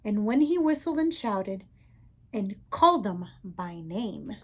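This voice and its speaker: A woman reading a rhyming children's story aloud in an expressive, measured way, as if to young listeners. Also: medium pitch, breathy edge.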